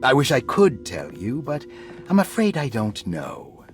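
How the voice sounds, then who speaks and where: An actor playing an urbane, evasive asylum doctor in a video game, speaking in a suave, measured, condescending way. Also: male voice, mid-range voice, wildly swooping pitch, soft background music. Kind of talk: cinematic video game voice acting.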